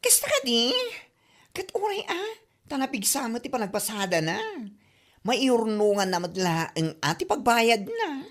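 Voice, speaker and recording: A woman's voice: a little high, very animated, measured pace. A woman playing a sassy, teasing younger neighbour in an Ilocano comedy drama, joking cheekily with an Ilocano accent. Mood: chatty.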